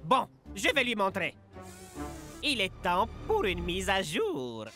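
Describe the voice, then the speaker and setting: A man's voice: sits high, huge pitch swings, takes it slowly, music in the background, exaggerated voice. A man voicing a young footballer who scolds a misbehaving robot, exasperated and bossy, in a cartoon dub.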